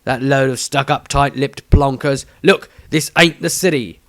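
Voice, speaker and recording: A man's voice: medium pitch, measured pace, fairly bright tone, dry recording, pitched above the performer's own voice. A man voicing an angry, stubborn rustic villager, blunt and indignant, in a fantasy storybook. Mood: scornful. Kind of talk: storybook character acting.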